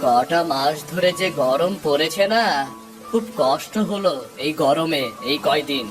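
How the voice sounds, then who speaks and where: A man voicing a grumbling, fretful village husband and father in a cartoon, expressive and homely. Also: a little high, measured pace, music in the background, natural voice. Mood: chatty, fretful. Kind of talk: Bengali moral-story cartoon voice acting.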